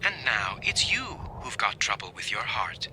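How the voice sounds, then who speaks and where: A man's voice: on the low side, sing-song, moderate pace, pushed and bright, a little hiss or hum, exaggerated voice, low-quality recording. A cruel, mocking young man, swooping delivery. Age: 20s. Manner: mocking, theatrical, coolly emphatic. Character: cruel, taunting young man's recorded self on tape. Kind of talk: old-time radio drama acting.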